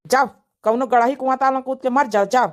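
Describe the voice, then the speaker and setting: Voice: high-pitched, steady tone, fairly quick, close to an everyday voice. A performer voicing a furious, accusing village man in an Awadhi cartoon comedy, scolding in a melodramatic way. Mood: bitter. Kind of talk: dramatic comedy cartoon dubbing.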